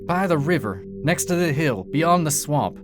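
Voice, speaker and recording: A man voicing a young girl in a dark fairy tale, earnest and cunning, speaking in careful phrases. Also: a little high, animated, normal speed, voice pushed up, close to an everyday voice. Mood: careful innocence. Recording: music behind the voice.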